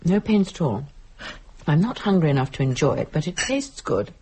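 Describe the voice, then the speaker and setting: Animated brisk, plucky woman. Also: deep voice, breathy edge, exaggerated voice, rough recording. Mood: brisk irony. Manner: brisk, insistent questioning. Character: brisk, plucky, sardonic woman taking charge. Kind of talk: dramatic radio play acting.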